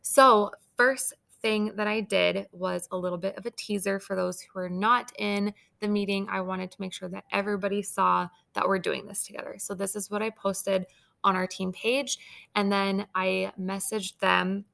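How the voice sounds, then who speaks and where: A woman's voice: medium pitch, level tone, normal speed, fairly bright tone. A woman leading a sales team, talking through her steps in a casual, enthusiastic way, her throat a little scratchy. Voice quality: gravelly vocal fry. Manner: upbeat, enthusiastic, chatty. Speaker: team leader of sales consultants. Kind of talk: casual training talk.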